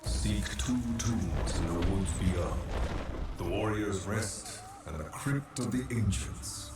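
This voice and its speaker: An actor playing an ancient, solemn temple guardian in a video game, grave and commanding, with a ghostly echo. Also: male voice, fairly low pitch, slow. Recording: heavily echoing, distant, music behind.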